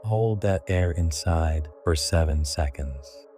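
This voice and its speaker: A man narrating a scripted guided sleep meditation in a hushed, deliberate way, in short phrases. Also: sits low, faint music behind.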